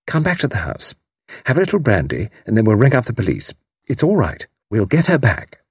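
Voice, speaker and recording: Man voicing a brisk, sensible, husky-toned woman. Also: mid-range voice, wildly swooping pitch, a little mellow, no echo, studio quality, pitched above the performer's own voice, exaggerated voice. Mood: firmly reassuring. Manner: firm, practical. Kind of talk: audiobook character voice.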